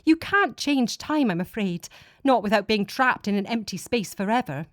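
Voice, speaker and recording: A woman's voice: on the high side, lively intonation, moderate pace, no echo, clean studio sound, lightly acted. A woman voicing a frightened time traveller in an audiobook, nervous and pleading. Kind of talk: dramatic audiobook character voice.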